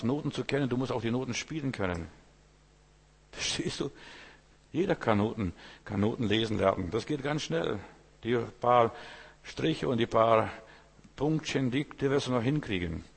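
A man from Lithuania, whose first language is Lithuanian, preaches in a husky, mid-pitched voice. He talks to the congregation like a conversation and keeps checking whether they follow. His voice carries a long hall reverb. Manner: conversational, keeps asking "verstehst du?". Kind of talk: informal sermon.

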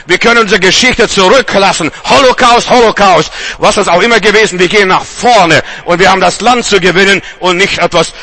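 A man's voice: sits high, fairly quick, hardly any pauses, pushed and bright. A man from Germany preaching a charismatic sermon with emphatic, repeated refrains.

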